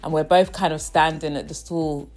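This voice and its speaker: A woman's voice: deep voice, steady tone, moderate pace, darkish tone, soft background music. A young woman talking casually in her own vlog, chatty and often checking in with the viewer. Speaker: vlogger host. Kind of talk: casual personal vlog monologue.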